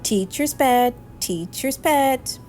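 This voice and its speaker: Woman voicing cheeky teasing schoolkids, animated pitch. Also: slow. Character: teasing schoolchildren, boys and girls, cheeky classmates. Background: music.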